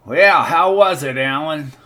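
A man's voice: on the high side, measured pace, sharp tone. A man reading the part of an easygoing, gray-haired drugstore owner in a small town, talking in a folksy way.

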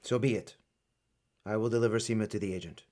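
A man acting in a radio play as a stern but protective older colonel and uncle figure, grave and deliberate. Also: lowish voice, a little mellow, natural voice. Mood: resigned, businesslike.

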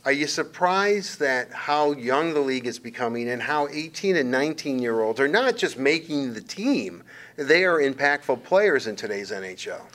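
A middle-aged man from Detroit, hosting a casual sports interview in a wordy, halting way. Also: medium pitch, expressive, fairly bright tone. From Detroit, Michigan. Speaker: sports show host, interviewer.

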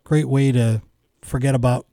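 A man's voice: mid-range voice, steady tone, normal speed, warm tone, no echo, clean studio sound. A middle-aged or older man chatting casually as an interview guest, modest and understated, often answering briefly. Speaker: interview guest, amateur performer. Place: radio studio.

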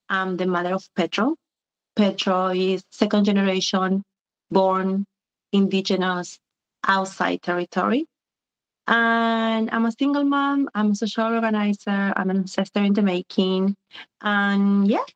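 A woman's voice: mid-range voice, steady tone, a little slow, smooth. A woman, speaking reflectively as an interview guest with many "eh" fillers. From Argentina. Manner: searching and reflective, with frequent "eh" fillers. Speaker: guest, community organizer. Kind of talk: conversational interview. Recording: dull lo-fi sound.

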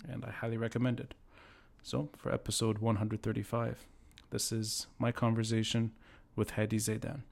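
Low-voiced male podcast host, reflective. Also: monotone, normal speed, gravelly, a little mellow. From Lebanon. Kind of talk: thoughtful conversational interviewing.

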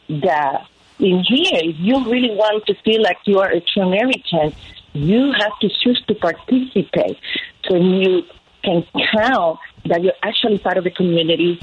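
Female guest, Puerto Rican accent, lowish voice, earnest oratory, dull lo-fi call sound. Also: a little hiss or hum. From Puerto Rico. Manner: earnest, oratorical, repeats in threes. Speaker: panel guest. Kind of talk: impromptu personal testimony.

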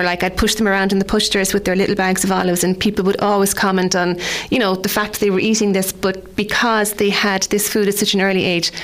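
An Irish woman in her forties, chatting casually on radio about food, rambling with frequent restarts. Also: mid-range voice. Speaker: food contributor.

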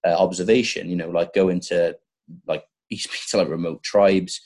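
A man in a casual intellectual discussion, making his points in a halting but emphatic way. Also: low-pitched, fairly even intonation, a little mellow.

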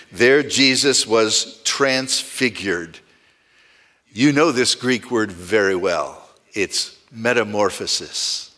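A man's voice: medium pitch, bright, forceful tone. A male pastor preaching a sermon in a conversational way, asking the congregation rhetorical questions.

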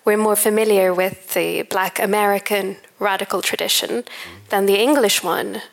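A woman who interviews a guest on stage. Her pitch sits in an ordinary middle range for a woman. She asks curious, thoughtful questions. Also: fairly even intonation, moderate pace, vocal fry, poor audio. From Sweden. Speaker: moderator, interviewer. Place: cultural venue stage event.

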